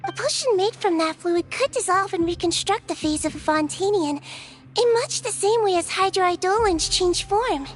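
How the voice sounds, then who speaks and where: A gentle high-pitched little nurse. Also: female voice, lively intonation, normal speed. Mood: calm. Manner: gentle and composed, clinical. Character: small kindly nonhuman head nurse, calm and clever. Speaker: head nurse. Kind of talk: video game voice acting.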